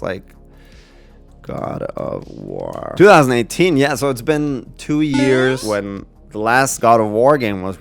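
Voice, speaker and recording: Low, clear-voiced man reacting casually. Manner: rambling, thinking aloud. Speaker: gaming video creator reacting to a trailer.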